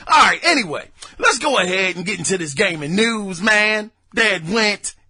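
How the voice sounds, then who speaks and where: A young adult man hosting a casual gaming news podcast, hoarse, excitable and prone to loud bursts and folksy asides. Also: high voice, moderate pace, no echo, studio quality. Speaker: podcast host.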